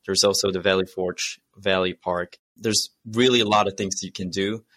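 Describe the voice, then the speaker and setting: A man's voice: sits low, steady tone, moderate pace, a bit bright, no room sound. A man in his thirties from Malaysia, chatting and presenting as a medical podcast guest. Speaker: cardiology fellow, podcast guest. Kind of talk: conversational medical presentation and chat.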